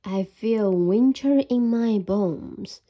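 A woman's voice: mid-range voice, lo-fi sound. Woman doing a sleepy, drawling bear. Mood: drowsy. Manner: slow drowsy yawning drawl. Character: sleepy lazy male bear. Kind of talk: picture-book read-aloud.

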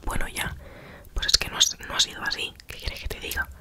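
Whispering young woman telling a story. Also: a little fast. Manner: chatty, self-mocking, acts out quoted exchanges.

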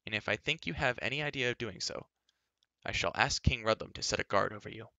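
An earnest young adventurer of a storybook, voiced by a man, speaking in a bright, forceful tone. He is grave and firm, with an anxious, pleading edge, and the sound is close and dry. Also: fairly low pitch, fast, creaky, no room sound, dull audio. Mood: angry. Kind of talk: acted audiobook dialogue.

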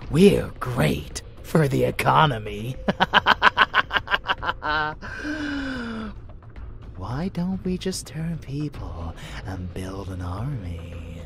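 A woman voicing an unhinged, rebellious male vampire lover in an ASMR roleplay, teasing and menacing in a breathy, drawling whisper. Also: a bass voice, slight background noise, heavily acted. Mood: smug, playful.

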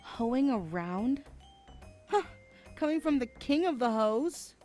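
High-voiced, flustered younger sibling played by a woman. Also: sing-song, slow, dark tone, faint music behind, full character voice. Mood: indignant. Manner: hesitant, flustered, stammering. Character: nervous, defiant younger sibling hiding a friend. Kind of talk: amateur audio roleplay acting.

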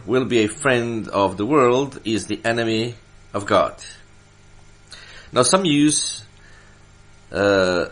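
A man from Serbia, a church elder, delivering Bible teaching from prepared notes in a deliberate way, stressing his phrases. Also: on the low side.

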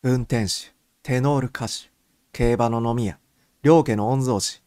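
A man's voice: mid-range voice, unhurried, a little mellow, no echo, clean studio sound. A man reading scripted first-person mystery fiction as an audiobook narrator.

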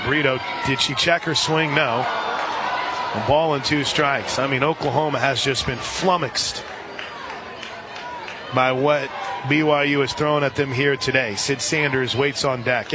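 A man calling softball play-by-play for radio in a conversational, folksy way, with asides between the calls. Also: mid-range voice, background noise. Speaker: radio play-by-play sports announcer. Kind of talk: live softball commentary. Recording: crowd murmur behind broadcast voice. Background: outdoor stadium crowd.

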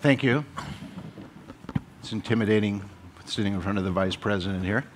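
A man's voice: lowish voice, normal speed, noisy background. A man moderating a conference panel, speaking hesitantly with many fillers. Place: conference stage with an audience.

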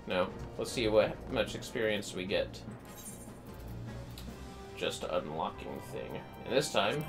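Easygoing male streamer over faint music. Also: lowish voice. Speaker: livestreamer. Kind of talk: casual game livestream commentary.

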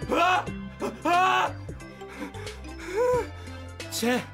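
A man's voice: very high-pitched, extremely slow, creaky, over loud music, full character voice. A man playing a brash, goofy, mischievous young host of a mock radio show in a comic audio drama, boisterous and teasing. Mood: flustered grumbling.

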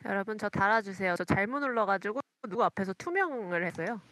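A woman player in a game voice chat, explaining what she saw in a calm, measured way. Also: medium pitch.

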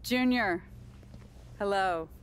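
An actress playing a polished, persuasive middle-aged sports agent delivering a smooth pitch in a video game. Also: female voice, very slow, slight background noise, poor audio.

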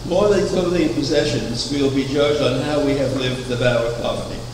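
An older man preaching a sermon from a pulpit, measured and anecdotal, asking rhetorical questions. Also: medium pitch. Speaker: preacher, founder of a religious order. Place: chapel, at a wooden pulpit. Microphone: far camera. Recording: echoey chapel from far off, air conditioning hum.

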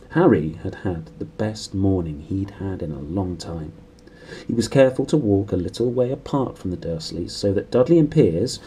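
A man in his thirties with a British accent, reading a storybook aloud in an animated way. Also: lowish voice, a little hiss or hum. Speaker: book reader. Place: small room indoors.

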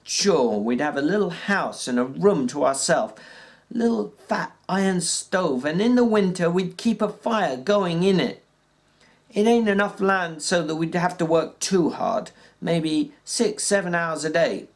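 A reader doing a weathered ranch hand who talks in a folksy rural drawl, his voice pushed noticeably higher than the narration. He rambles along in plain country words with small stumbles and fillers. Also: animated, measured pace. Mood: wistful. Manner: raised pitch, stumbles and fillers. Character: plainspoken ranch worker man dreaming aloud. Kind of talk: audiobook reading.

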